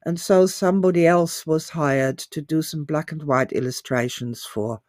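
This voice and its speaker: A woman's voice: low voice, dark tone, dry recording, studio quality. A middle-aged woman giving an informal, enthusiastic talk as an author and teacher, gushing and repeating phrases for emphasis.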